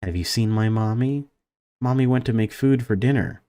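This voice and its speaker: A man's voice: mid-range voice, moderate pace, warm tone, clear, resonant tone, dry recording, studio quality, close to an everyday voice. A man reading as an innocent little girl. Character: innocent, curious little girl in a horror game.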